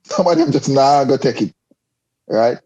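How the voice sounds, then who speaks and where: A man's voice: medium pitch, normal speed, mellow, lo-fi sound. A man with a Caribbean accent talking casually and emphatically, often repeating his phrases as he explains. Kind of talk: casual discussion.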